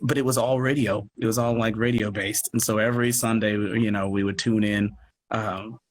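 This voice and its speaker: A man's voice: fairly low pitch, steady tone, normal speed. Casual chatty man, some background noise. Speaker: radio play producer and interview guest. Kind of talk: casual live online chat. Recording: live stream with background noise.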